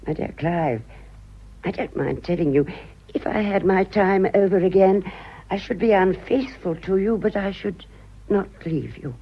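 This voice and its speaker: An actress playing a vain, theatrical aging British society wife in a radio drama, grand, emphatic and melodramatic. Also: female voice, mid-range voice, unhurried, husky. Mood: reflective. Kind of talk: radio drama acting of a stage comedy. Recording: dull lo-fi radio drama recording.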